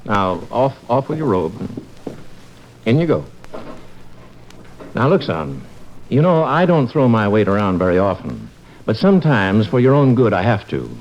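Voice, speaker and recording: A man's voice: lowish voice, big pitch swings, measured pace, pauses often. A man playing a firm but kindly middle-aged doctor father in an old-time radio family comedy, reasoning in a paternal way. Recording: muffled, faintly hissy old radio recording.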